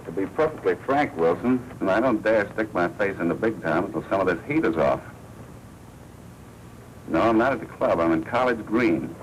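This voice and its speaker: An American actor playing a smooth-talking racketeer boss in a 1940s noir film, deliberate and falsely cordial. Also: male voice, deep voice, lively intonation, lo-fi sound. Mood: persuasive, cordial. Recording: hissy 1940s film soundtrack.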